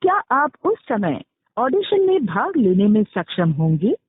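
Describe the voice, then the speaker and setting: A woman's automated phone menu voice reading scripted prompts in a formal, measured, instructional manner. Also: mid-range voice, very animated, breathy edge. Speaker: automated phone line announcer. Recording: muffled, phone-like, roomy call playback. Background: phone call recording played back.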